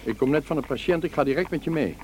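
A man acts out a concerned country doctor in a radio play. His voice is mellow and slightly high, his manner grave and suspicious. There is faint noise on the recording. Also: expressive, quick pace, lightly acted. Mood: brisk. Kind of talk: radio drama acting.

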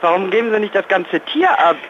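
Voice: mid-range voice, lively intonation, a little fast, fairly bright tone. A man working at a dry cleaner's, talking casually on the phone with amused disbelief. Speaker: dry-cleaning shop worker. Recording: dull, slightly noisy phone line.

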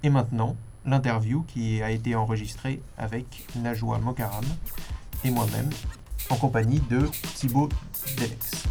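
A man's voice: medium pitch, fairly even intonation, a little slow, vocal fry, dark tone, soft background music. Male radio presenter, mid-range voice, reading scripted event announcements. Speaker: radio host.

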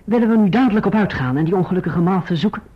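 An actor playing an earnest, courteous young gentleman who also narrates his own story in a Dutch radio play. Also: sits high, lively intonation, very fast, husky. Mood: earnest, urgent. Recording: muffled old radio recording.

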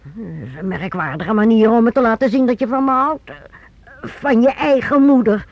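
A woman's voice: fairly high pitch, moderate pace. An actress in a Dutch radio play playing an anxious, evasive middle-aged mother held captive by her son, hesitant, stammering and placating. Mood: hurt, accusing. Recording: muffled old radio play recording.

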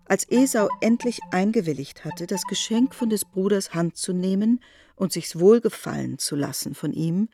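A woman reads scripted narration for a literary radio drama. Her voice sits at a middle pitch. Faint music plays behind her. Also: moderate pace, warm tone.

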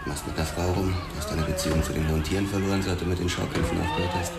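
A man's voice: low-pitched, very fast, creaky, loud music behind the voice, over a noisy crowd. An actor playing a laconic, guarded ex-boxer cop who narrates his past in a crime radio drama. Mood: guarded, dry.

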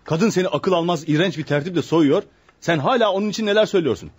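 A man's voice: on the high side, quick pace, husky. An actor playing a worldly lawyer friend in a radio play, mocking and familiar in his exclamations. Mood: amused, mocking. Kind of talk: Turkish radio theater acting.

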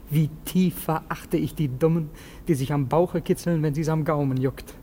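A man acts a self-satisfied rogue of a servant in a classic radio drama. His voice sits fairly high, theatrical and wheedling, slipping into conspiratorial asides. Light noise clings to the old recording. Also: steady tone, a little slow, dark tone, natural voice. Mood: gloating. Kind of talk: classic German radio play acting.

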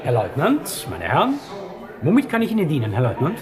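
An actor playing a sharp-witted lawyer in a radio play, courteous on the surface with a pointed, mocking edge. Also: male voice, fairly high pitch, wildly swooping pitch, brisk. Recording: loud crowd behind the voice.

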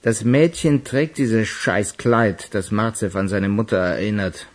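A man acting in a German radio crime drama as a worried, hard-bitten criminal who speaks grimly and deliberately. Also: on the low side, natural voice.

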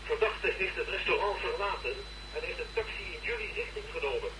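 Terse police lookout over crackly two-way radio. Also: male voice, high voice, animated, a little fast. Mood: urgent. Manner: terse, formal radio procedure. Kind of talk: radio play acting. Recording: noisy humming walkie-talkie sound.

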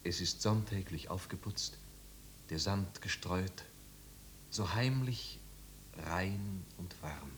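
Young poet, low voice, fervent, lyrical, sensitive, radio play, faint noise of an old recording. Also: male voice, slow pace, long pauses. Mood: tender, rapt. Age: young adult. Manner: impassioned, lyrical, dropping to near whispers. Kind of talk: 1950s German radio drama acting. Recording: noisy 1950s radio recording.

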